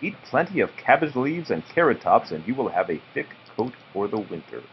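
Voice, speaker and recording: A man voicing a motherly, gently instructive female rabbit from a storybook. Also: on the low side, big pitch swings, fairly quick, gravelly. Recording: muffled, noisy home recording.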